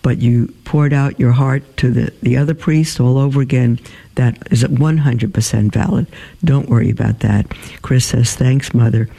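A man hosting a religious advice show, reading listener emails aloud and answering them in a halting way with many pauses and fillers. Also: medium pitch, gravelly, mellow.

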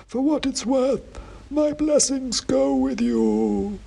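A man voicing an ancient king's severed head that speaks in slow, solemn rhymes with a dusty, faint voice. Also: extremely high pitch, huge pitch swings, warm tone, husky, pitched above the performer's own voice, exaggerated voice. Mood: ominous. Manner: slow, drawn-out rhyming pronouncements.

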